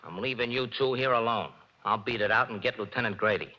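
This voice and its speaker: An actor playing a cocky, wisecracking male private detective in a 1940s radio drama, talking brisk and commanding. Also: medium pitch, sharp tone, slight background noise. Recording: muffled 1940s radio broadcast.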